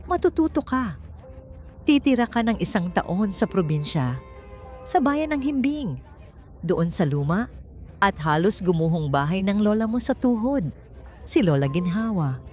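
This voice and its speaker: A woman's voice: mid-range voice, big pitch swings, unhurried, pauses often, darkish tone, soft background music, voice pushed up. A woman voicing a stern, wealthy father in an audiobook drama, curt, authoritative and scolding. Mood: cold, final. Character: stern, wealthy father, cold commanding patriarch.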